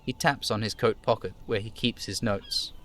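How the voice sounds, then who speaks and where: A man's voice: on the low side, fairly even intonation, moderate pace, fairly bright tone. A man streaming a game, reading its narration and dialogue aloud live. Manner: narrating, trying out character voices.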